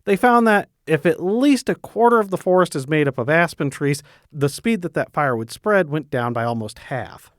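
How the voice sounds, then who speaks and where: A man's voice: fairly high pitch, lively intonation, moderate pace, no room sound, clean studio sound. A man working as a news reporter, explaining a story in a conversational radio report.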